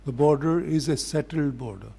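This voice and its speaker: An older man with a Pakistani accent speaks as a diplomat in a formal public address, in a deliberate, blunt, admonishing manner. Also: a little high, gravelly, warm tone, breathy edge.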